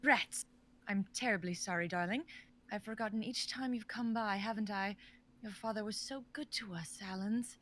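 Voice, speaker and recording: Woman voicing a flirty Victorian heiress, posh English accent, melodramatic, bright tone. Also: medium pitch, moderate pace, close to an everyday voice. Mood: gushing. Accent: put-on posh English. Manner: melodramatic, breathy and coy. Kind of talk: live-streamed script reading.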